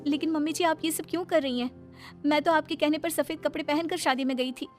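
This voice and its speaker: Lady doing a shy dutiful young widow, high questioning voice, bedtime story w music. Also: steady tone, fast talker, lightly acted. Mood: timid, puzzled. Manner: timid, questioning. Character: meek, dutiful young widowed daughter-in-law. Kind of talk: storybook character dialogue. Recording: background music under the voice.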